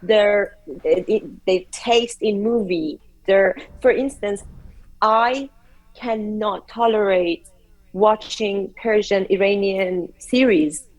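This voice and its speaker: A woman's voice: medium pitch, low-quality recording. Earnest woman co-host steering the talk. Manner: earnest, halting, steers the conversation. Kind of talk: casual chat.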